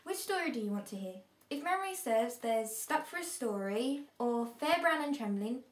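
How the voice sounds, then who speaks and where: A woman's voice: a little high, animated, normal speed, lightly acted. A woman playing a friendly older relative who reads bedtime stories in an amateur drama. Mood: friendly, teasing. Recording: roomy echo, faint background noise.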